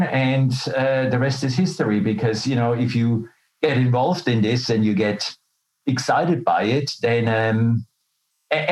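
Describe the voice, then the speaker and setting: A middle-aged man who grew up in Switzerland speaks as an interview guest at a medium pitch. He tells personal stories in a candid, colloquial way and makes fun of himself. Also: poor audio. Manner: self-deprecating, colloquial, candid. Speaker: interview guest, health professional. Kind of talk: conversational personal storytelling.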